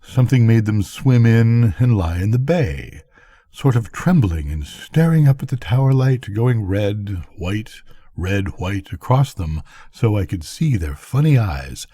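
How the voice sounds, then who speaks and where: A musing lighthouse keeper from a storybook, read by a man, lonely and philosophical. He talks slowly and folksily in a low, mellow voice, pondering the mysteries of his world. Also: big pitch swings, slightly rough, no room sound, full character voice. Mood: musing wonder. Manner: thoughtful, folksy. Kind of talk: bedtime storybook reading.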